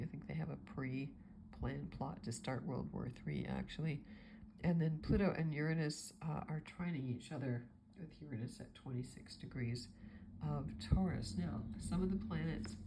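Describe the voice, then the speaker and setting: A woman's voice: deep voice, creaky, background noise. A woman astrologer with a stuffy nose from a cold, talking through a forecast in a meandering, thinking-aloud way.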